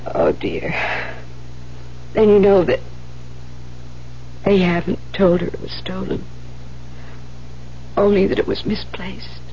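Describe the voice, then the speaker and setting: An actress playing a gentle, anxious older nun in a 1970s radio drama, faltering and breathy as she pleads. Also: medium pitch, very animated, takes it slowly, pauses often. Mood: sorrowful. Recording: muffled, hissy 1970s radio recording with hum.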